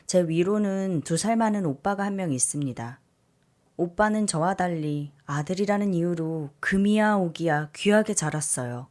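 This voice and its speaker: A woman reading a listener's life story aloud as scripted narration, calm and measured. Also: on the low side. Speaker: story reader.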